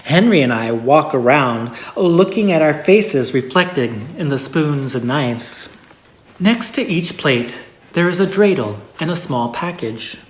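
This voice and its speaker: A person reading a holiday story aloud in a measured storybook way with expressive pauses. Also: mid-range voice. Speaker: story reader. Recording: echoey room.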